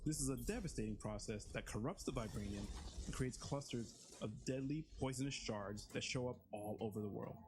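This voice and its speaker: A man's voice: medium pitch, brisk, background noise. A man giving a scripted promotional presentation as a video game writer. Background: background music.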